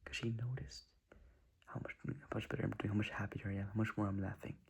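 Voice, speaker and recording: A man's voice: on the low side, flat delivery, fast, gravelly, breathy edge. A young man giving earnest, rambling advice in a whispery voice, circling back and repeating himself.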